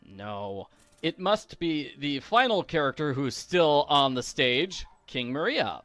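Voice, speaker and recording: A man's voice: fairly high pitch, very animated, slow pace, a bit bright. A man giving casual, playful walkthrough commentary on a video game, explaining each step as host. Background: music.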